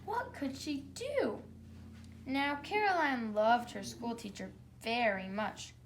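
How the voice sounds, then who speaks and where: A woman's voice: on the high side, slow. A woman hosting a story time, reading a bedtime story aloud for children. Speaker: children's story reader and host. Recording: faint background noise.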